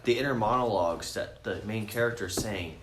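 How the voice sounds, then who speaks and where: A man's voice: lowish voice, fairly quick. A man co-hosting a casual movie podcast, talking emphatically with plenty of swearing and strong opinions. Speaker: podcast co-host. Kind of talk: casual film chat among friends. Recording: roomy echo, faint background noise.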